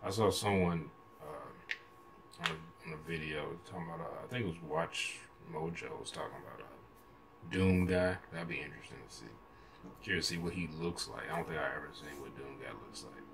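Very deep, gravelly American man. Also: normal speed, noisy background. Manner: hesitant, rambling, slangy. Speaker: reaction video host. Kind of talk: casual reaction commentary. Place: small room at home, indoors.

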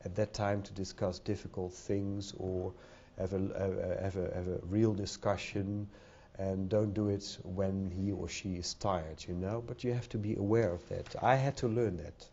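A man's voice: sits low, steady tone, normal speed, mellow, a little hiss or hum. Hesitant Dutch-accented man at a podium. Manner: hesitant, many fillers and repeated words. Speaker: conference speaker. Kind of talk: conference talk. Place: conference hall with audience. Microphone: podium microphone. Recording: dull lo-fi sound.

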